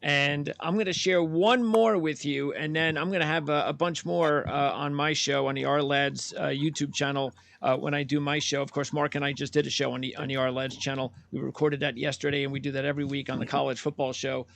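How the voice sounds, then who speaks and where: A man's voice: a little high, fairly even intonation, gravelly, fairly bright tone, no room sound. A man working as a podcast producer, giving channel plugs and reading betting trends in an upbeat way with plenty of uh and um. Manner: upbeat, fills pauses with uh and um.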